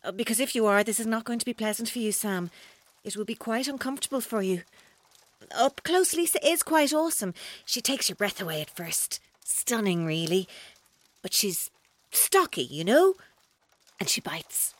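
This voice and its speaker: A woman playing an obsessive, menacing young woman in a radio drama, speaking in a deliberate, coaxing, unsettlingly calm manner. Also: mid-range voice, dry recording, lightly acted. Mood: eerily calm. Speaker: actor in an audio drama. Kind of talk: naturalistic radio drama acting.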